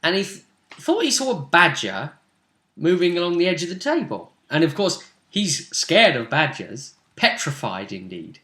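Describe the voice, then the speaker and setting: A man runs a tabletop role-play game, telling events back to his player. His voice is bright and forward, and he repeats phrases for emphasis. The recording has a clear room echo. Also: a little high, big pitch swings, moderate pace. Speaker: game master. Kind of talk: narrating and recapping a tabletop role-play session. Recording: roomy, echoey indoor recording.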